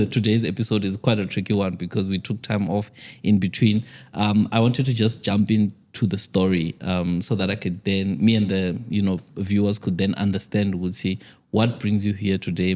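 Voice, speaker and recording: South African podcast host, low voice. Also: male voice, a little mellow. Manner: attentive, with frequent short affirmations. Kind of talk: podcast interview.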